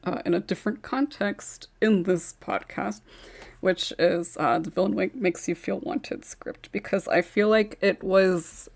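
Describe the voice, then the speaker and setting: A woman's voice: medium pitch, fairly even intonation, unhurried, gravelly. A woman who writes ASMR scripts, chatting loosely on a podcast and joking along with the others. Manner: laughs often, loose and joking. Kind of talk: casual podcast chat.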